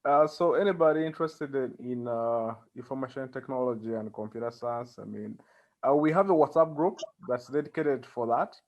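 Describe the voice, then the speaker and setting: Male interview guest, Ugandan accent, mid-pitched, conversational, halting delivery with plenty of pauses. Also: dull audio. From central Uganda. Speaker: interview guest, community organizer.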